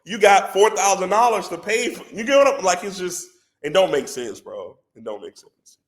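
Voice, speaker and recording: A man with a head cold and a congested voice, a company president talking as a podcast guest in an animated, persuasive way. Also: high voice, normal speed. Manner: animated and persuasive, says "bro" a lot. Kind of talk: casual podcast conversation.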